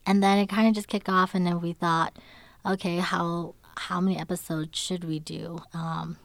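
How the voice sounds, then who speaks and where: A young woman producing a podcast, talking in a measured way with frequent pauses and ums. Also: fairly low pitch, little pitch movement, vocal fry, no echo. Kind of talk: casual podcast chat. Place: recording studio.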